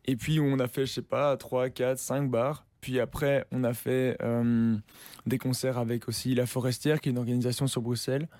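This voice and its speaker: A man's voice: mid-range voice, moderate pace, no room sound. A young man, a musician chatting casually in an interview, loose and slangy with lots of fillers. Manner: relaxed slangy talk with many fillers and restarts. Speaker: musician guest.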